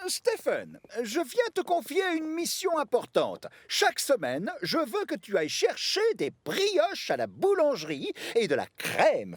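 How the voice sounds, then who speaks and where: An actor voicing a polite aristocratic castle owner in a cartoon, speaking in a courteous, formal way. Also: male voice, very high voice, dry recording, full character voice.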